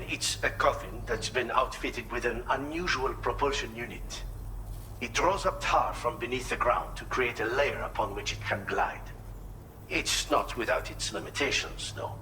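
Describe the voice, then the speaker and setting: An actor playing a thoughtful, helpful mechanic in a video game, explaining things in a measured, slightly hesitant way. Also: male voice, medium pitch, bright, forceful tone, slight background noise, natural voice, rough recording. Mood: explanatory, wary. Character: thoughtful male inventor mechanic, earnest and helpful. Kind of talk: video game cutscene dialogue.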